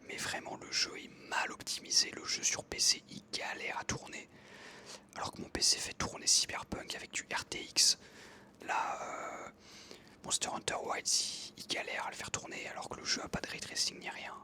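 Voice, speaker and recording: Whispering ASMR creator, bright and breathy. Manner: whispering throughout, hesitant pauses with frequent self-corrections. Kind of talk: whispered ASMR game commentary.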